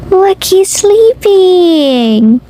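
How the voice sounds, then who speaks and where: Female children's read-aloud host, extremely slow delivery, big lively pitch swings, speaks straight to young listeners. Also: slight background noise. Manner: sing-song, exclamatory, addressing young children directly.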